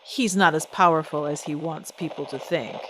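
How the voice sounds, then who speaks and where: A woman's voice: low voice, steady tone, moderate pace, heavy background noise, lightly acted. A woman playing a poised, defiant celebrity singer in an old-time radio drama, speaking with composed, pointed, genteel diction. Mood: firm, defiant.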